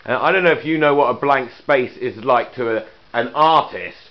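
A man's voice: mid-range voice, moderate pace. A man giving a rambling, joking walkthrough of his own mural in an indoor studio room, talking away from the camera. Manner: rambling, joking, trails off into asides. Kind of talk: casual narrated walkthrough. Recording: dull lo-fi camcorder audio.

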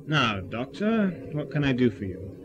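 A man's voice: medium pitch, sing-song, moderate pace, dull audio, background noise, natural voice. A man playing a stern, by-the-book alien cardinal in an audio drama, formal and condescending. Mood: stiff, formal. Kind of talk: sci-fi audio drama acting.

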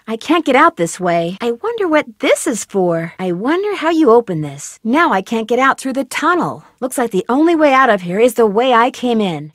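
A woman's voice: high voice, pushed and bright, no echo, clean studio sound, exaggerated voice. An actress voicing a curious teenage girl detective, delivering upbeat, playful quips in video game voice lines. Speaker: game protagonist.

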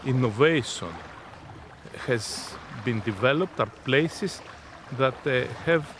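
A man in his fifties answering interview questions in a halting, deliberate, unscripted way. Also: medium pitch. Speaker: interviewee, innovation zone representative. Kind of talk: spoken interview, unscripted explanation. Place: outdoors on a sea beach. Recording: outdoor seaside background noise.

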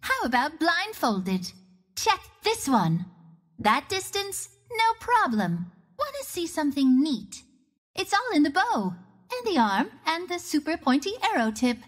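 A woman's voice: sits high, very animated, measured pace. A woman voicing a cocky, playful young archer of the wind in a video game, with a confident, teasing manner. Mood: showing off. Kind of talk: video game character voice lines.

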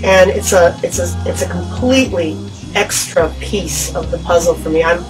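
A woman's voice: lowish voice, steady tone, moderate pace. A woman in her thirties, born in America, earnestly reflecting in an outdoor documentary interview. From United States. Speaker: interviewee, singer. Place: outdoor garden. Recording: distant outdoor mic with music behind.

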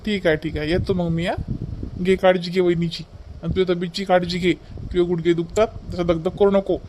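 A man's voice: high voice, little pitch movement, brisk, darkish tone, slight background noise, lightly acted. A man voicing a breezy young married son in a cartoon family comedy, insistent and reassuring, with a Vidarbha Marathi accent. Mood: caring.

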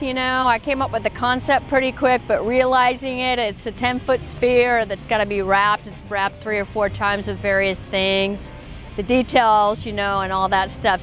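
A woman's voice: on the high side, a bit bright. A woman sculptor and architect talking casually about her piece in an outdoor park interview. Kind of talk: on-camera interview.

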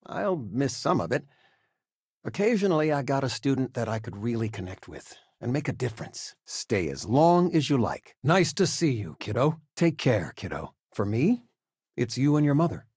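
An actor playing an affable, proud older father, a retired teacher in a video game, speaking fondly with gentle wry humor. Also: mid-range voice, big pitch swings, normal speed, husky, no echo, studio quality. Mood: warm, reassuring. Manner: fond, reassuring, gently joking. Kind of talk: video game character dialogue.

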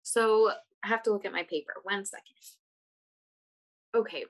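A woman interpreter voicing a panelist's words in steady, plain first-person narration on a video call. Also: mid-range voice, moderate pace, rough recording.

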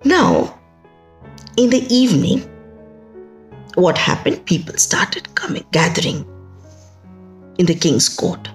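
A middle-aged woman with an Indian accent telling a folktale in an expressive, emphatic way, with conversational asides. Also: fairly low pitch, slow pace, pauses often. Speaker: storyteller. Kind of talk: dramatic oral folktale storytelling. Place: indoors, small plain room. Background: music.

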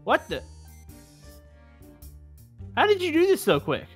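A man's voice: extremely high pitch, huge pitch swings, slow, a bit bright, soft background music. A man bantering casually with friends while playing a video game. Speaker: gamer.

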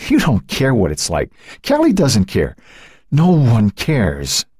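A man's voice: low voice, big pitch swings, fast talker, darkish tone, no room sound, clean studio sound. A man voicing an anguished, defensive teenage boy in an audiobook, with a tight, breaking voice and pleading outbursts. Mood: bitter, distraught. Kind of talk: audiobook character acting.

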